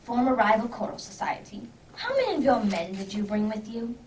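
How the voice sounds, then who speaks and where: A woman playing a brisk, impatient, clever male detective with theatrical emphasis in an amateur radio drama. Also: a little high, big pitch swings. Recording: distant microphone, background noise.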